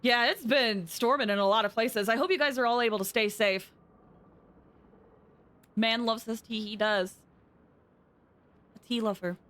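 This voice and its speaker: A woman's voice: a little high, normal speed, long pauses, fairly bright tone. A woman livestreaming a game, chatting casually and commenting as she plays. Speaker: game streamer. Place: indoors, livestream set-up. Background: game music.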